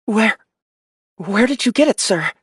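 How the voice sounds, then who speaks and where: A man voicing a loyal, dutiful young woman lieutenant who speaks in a high, pressed voice. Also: normal speed, no echo, clean studio sound. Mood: anxious, urgent.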